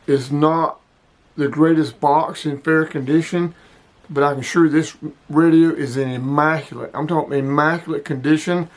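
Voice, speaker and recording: Guy in his 60s doing a casual unboxing type vlog, slightly high voice, says stuff twice to really stress it. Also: low-quality recording. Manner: emphatic, repeats himself for emphasis. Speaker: host of a hobby radio video. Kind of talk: casual product showcase vlog.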